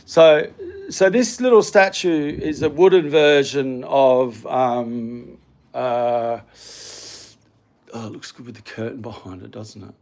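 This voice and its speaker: A man's voice: medium pitch, takes it slowly, a little mellow. A man chatting casually and a bit hesitantly while showing viewers around his home. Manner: rambling, hesitant, self-deprecating. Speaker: vlogger. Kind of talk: casual home tour chat. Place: home hallway and studio room.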